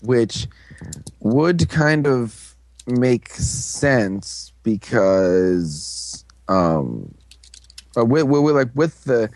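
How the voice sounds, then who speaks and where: A man's voice: mid-range voice, big pitch swings, very slow, a little mellow, no echo. Man casually reading fan news. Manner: joking, playfully crude, many fillers. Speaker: podcast co-host. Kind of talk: casual fan podcast chat.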